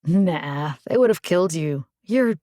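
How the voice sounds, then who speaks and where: A plucky, wry game fighter, close and dry. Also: female voice, fairly low pitch, expressive, a little slow, warm tone, dry recording. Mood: sardonic. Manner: casual, sardonic. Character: plucky, wry young woman rescue fighter, protective and casual. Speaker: video game voice actor. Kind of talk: video game voice lines.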